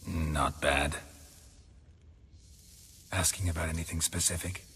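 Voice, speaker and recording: An actor playing a weary, sardonic middle-aged monster hunter in a video game, with a low gravelly voice and deadpan delivery. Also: male voice, takes it slowly, exaggerated voice. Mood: quietly serious. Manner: deadpan, dry.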